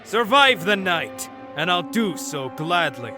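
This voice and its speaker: A man voices a jovial, scarred swordsmith in a fantasy drama. His voice is highish and forceful, swinging up and down as he talks with wry, hearty ease. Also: sing-song, slow, soft background music, heavily acted. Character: jovial, battle-scarred swordsmith father and dragon hunter.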